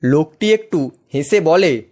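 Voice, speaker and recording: A man's voice: a little high, animated, unhurried, a little mellow. A man giving scripted narration of a horror story as its storyteller.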